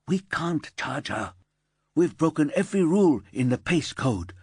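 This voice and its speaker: A man reading a calm senior policewoman. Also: a little high, unhurried, breathy edge, dry recording, clean studio sound, pitched above the performer's own voice, full character voice. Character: level-headed senior female detective.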